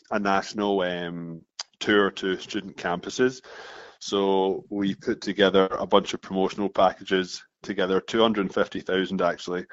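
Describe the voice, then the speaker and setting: Scottish founder in his thirties, low-pitched, restarts phrases in a steady flow; dull, dry sound. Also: dry recording. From Scotland. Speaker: founder of a marketing agency, guest. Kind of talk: casual interview talk. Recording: dull muffled dry recording.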